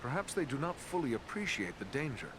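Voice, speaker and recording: Male actor as questing hero, medium-pitched voice, earnest, respectful, formal, fantasy game, clear rumbling ambience. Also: very animated, brisk, background noise, lightly acted. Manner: formal, sincere. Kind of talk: dramatic fantasy game acting.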